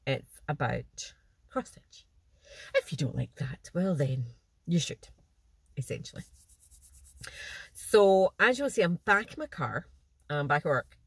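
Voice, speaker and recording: A nasal voice with a bit of a stuffy nose, rambling easily through a casual catch-up. The talk wanders, with false starts and small laughs, at a middling pitch. It is recorded in a parked car, close and dry with no room echo. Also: expressive, a little slow, gravelly, long pauses, husky. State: slightly blocked nose. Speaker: cross-stitch vlogger. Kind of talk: casual chat to camera.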